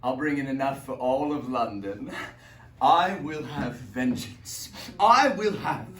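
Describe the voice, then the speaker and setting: A man playing a brooding, vengeful barber who is sly and menacing, moving from hushed threats to sudden shouts. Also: medium pitch, animated, slow pace. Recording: echoey room, distant mic, faint noise.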